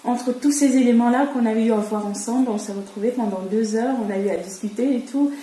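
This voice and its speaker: A woman working as a numerologist, interviewing a client in a calm, halting way with fillers. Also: fairly high pitch, echoey room, a little hiss or hum. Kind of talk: casual interview chat.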